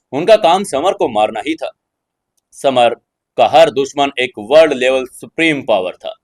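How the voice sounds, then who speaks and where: A man narrating a scripted dramatic audio story. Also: mid-range voice.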